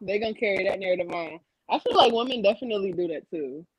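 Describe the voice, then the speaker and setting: A woman guest on a live podcast, talking in a blunt, animated way. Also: medium pitch, fast talker, slightly rough. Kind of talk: casual live podcast chat.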